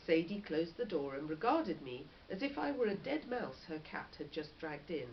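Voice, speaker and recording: A woman, a teacher reading a story aloud and putting questions to her class in an expressive, encouraging way. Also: deep voice, moderate pace. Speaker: primary school teacher. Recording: dull lo-fi recording with background noise.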